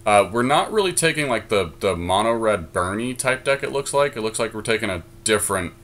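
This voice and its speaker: Low-voiced man musing over picks. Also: expressive, a little fast, a bit bright. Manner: musing, hesitant, lots of fillers. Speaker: podcast co-host. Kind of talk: casual chat while drafting a card game.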